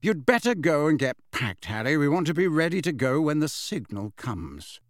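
A man's voice: on the high side, sing-song, moderate pace, dry recording, clean studio sound. A man voicing a sensible adult wizard mentor in an audiobook, with a practical, explanatory manner. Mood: brisk, practical. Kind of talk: audiobook character voice.

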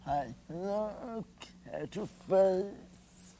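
A man playing an earnest deaf professor on children's television, with imprecisely articulated deaf speech in short, halting, direct phrases. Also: high voice, dark tone, slight background noise, heavily acted. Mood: earnest, explaining.

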